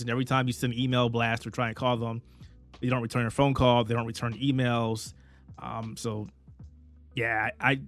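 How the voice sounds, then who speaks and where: A man's voice: mid-range voice, a bit bright. Earnest man with a fading voice. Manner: rambling, earnest, stumbles and restarts often. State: losing his voice. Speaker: head of a small gaming and anime media outlet. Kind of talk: casual talk to camera.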